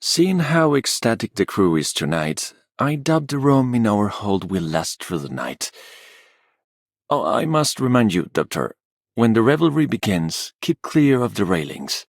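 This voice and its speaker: Gruff sailor in a game, low gravelly voice, slow, protective. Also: male voice, sing-song, no room sound, clean studio sound, full character voice. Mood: wry, concerned. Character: gruff, cautious protective male ship's crewman. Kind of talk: video game character voice acting.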